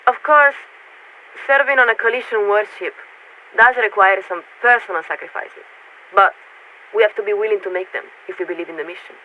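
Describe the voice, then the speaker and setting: A woman playing a polite, guarded young warship radio signaler in a video game, speaking in formal, measured official diction through a radio filter. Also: mid-range voice. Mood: composed, earnest. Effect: radio transmission filter. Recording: tinny narrowband radio with static noise.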